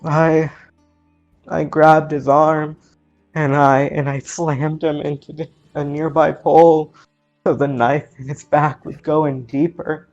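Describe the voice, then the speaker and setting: A man's voice: a little high, little pitch movement, slow, darkish tone, lo-fi sound, faint music behind. A man voicing a distraught, guilt-ridden teenage orphan girl in a tabletop game, stammering and repeating words. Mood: shaken confession.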